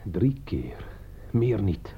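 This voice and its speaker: An actor playing a bitter, sardonic man in a radio play, husky, pointed and halting. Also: on the low side, big pitch swings, a little hiss or hum, full character voice. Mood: tense, hushed. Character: bitter, sardonic man who warned his friend. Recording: dull lo-fi radio play recording.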